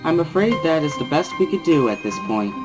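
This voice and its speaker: A man voicing a calm, reassuring hospital doctor in a measured, formal, placating manner for a dramatic reading. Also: fairly high pitch, over music, pitched above the performer's own voice, poor audio.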